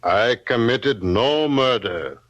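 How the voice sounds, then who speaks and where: An actor playing a sullen farmer accused of murder, speaking in flat, guarded lines in a 1950s radio drama. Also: male voice, mid-range voice, slow pace. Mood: grim. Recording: dull old radio broadcast, faint hiss.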